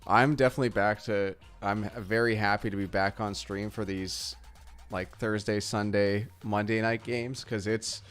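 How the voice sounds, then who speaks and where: A man's voice: on the low side, fairly even intonation, moderate pace, fairly bright tone, soft background music. A man co-hosting a live football stream, chatting casually and greeting viewers. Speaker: co-host of a live sports watch stream. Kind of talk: casual chat, reading viewer comments.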